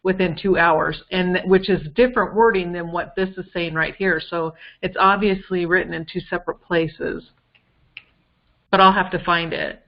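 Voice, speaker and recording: A woman co-hosting a casual case discussion, thinking aloud in a halting way on muffled call audio. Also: on the low side, fairly even intonation.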